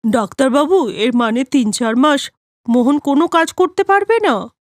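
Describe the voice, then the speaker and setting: A woman's voice: high voice, animated, moderate pace, no echo, clean studio sound. A woman voicing a loving, anguished elderly village mother in a cartoon story. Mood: worried.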